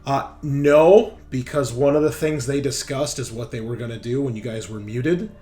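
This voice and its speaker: A man's voice: mid-range voice, moderate pace, dark tone, faint music behind. A man running a tabletop roleplaying game as game master, narrating casually and bantering with plenty of swearing.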